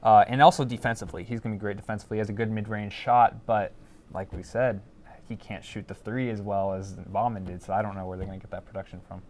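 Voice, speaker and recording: A man's voice: lowish voice, steady tone, fast, darkish tone, a little hiss or hum. A man giving opinions as a panelist in a casual sports discussion, often repeating words as he goes.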